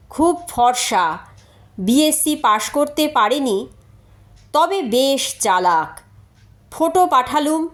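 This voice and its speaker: A woman reading aloud as a practical, matter-of-fact uncle writing a letter to his nephew in a story. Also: high voice.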